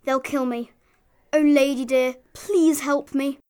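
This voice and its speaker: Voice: high-pitched, fairly even intonation, takes it slowly, a little mellow, pitched above the performer's own voice. A storyteller voicing a small, frightened talking monkey who pleads courteously and sadly in a children's storybook. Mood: desperate pleading. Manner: plaintive, courteous, imploring.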